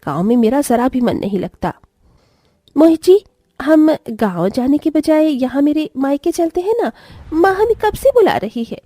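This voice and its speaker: Lady doing a snooty rich city bahu, high swingy voice, scornful, family drama story. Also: animated, moderate pace, warm tone, dry recording, clean studio sound. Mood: grumbling. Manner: scornful, complaining. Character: haughty, spoiled rich city daughter-in-law.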